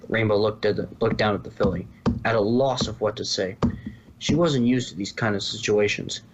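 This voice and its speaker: A man's voice: on the low side, moderate pace, highs cut off, background noise. A man reading story narration from a script, now and then stumbling and rereading a phrase. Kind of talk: scripted fan fiction narration.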